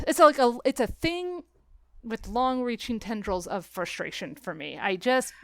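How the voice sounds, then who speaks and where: A woman's voice: a little high, animated, measured pace, creaky, no echo. A woman chatting casually and opinionatedly about film, emphatic and exasperated, as a podcast co-host. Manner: emphatic, exasperated ranting with acted-out quotes.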